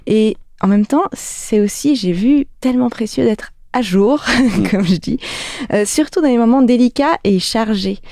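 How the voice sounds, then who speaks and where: A woman's voice: on the high side, unhurried, warm tone, dry recording. A woman columnist in a radio studio sharing a personal reflection conversationally, candid and a little self-mocking. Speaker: show columnist.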